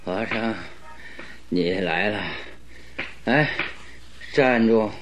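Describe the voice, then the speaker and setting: An actor playing a gravely ill, stubborn detective in a radio drama, hoarse and breathy, speaking feebly and haltingly but sternly. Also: male voice, lowish voice, background noise. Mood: weak, stern.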